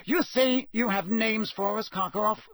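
A man's voice: high-pitched, normal speed, a bit bright, no room sound, studio quality, pitched above the performer's own voice. A man in a storybook reading, voicing a stern Ministry official, clipped, formal and cold. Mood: brisk, formal.

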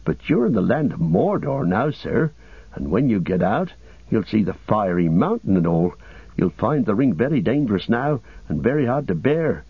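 Humble male hobbit servant, a man acting, deferential, rustic phrasing, lowish husky voice, storybook reading. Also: very animated, normal speed, dry recording, narrow, tinny audio, heavily acted. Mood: hesitant, caring. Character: loyal, humble, plain-spoken hobbit manservant, practical and tender.

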